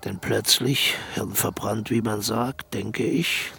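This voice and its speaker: An actor playing a haunted aging dictator in a radio play, brooding and slipping into whispers. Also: male voice, lowish voice, steady tone, takes it slowly, pushed and bright, full character voice. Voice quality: gravelly, creaky voice. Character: aging male dictator, haunted, recalling his own death. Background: music.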